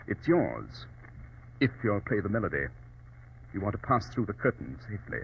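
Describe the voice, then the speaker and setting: An actor playing a cool, commanding English gentleman detective in an old radio drama, speaking in a clipped, composed way. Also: fairly low pitch, very animated, moderate pace, gravelly. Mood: smooth, persuasive. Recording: dull, noisy old radio recording.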